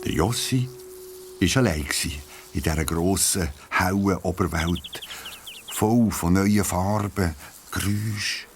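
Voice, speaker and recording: A man's voice: sits low, takes it slowly, noisy background. A man narrating a children's audio story in a storytelling style. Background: loud guitar music.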